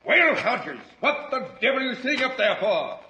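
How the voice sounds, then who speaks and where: An actor playing a furious, tyrannical doctor who barks imperious insults in an old radio drama. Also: male voice, extremely high pitch, big pitch swings, normal speed, pushed and bright, a little hiss or hum, heavily acted. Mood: furious, bullying. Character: furious, bullying doctor, a tyrannical villain berating his coachman. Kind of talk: 1940s radio acting. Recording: echoey, dull old radio recording.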